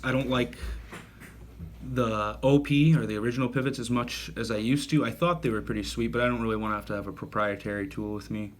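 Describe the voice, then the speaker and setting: A man's voice: mid-range voice, slight background noise. A man in his thirties giving a casual gear review, talking in a rambling, self-correcting and enthusiastic way. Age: 30s. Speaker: knife reviewer.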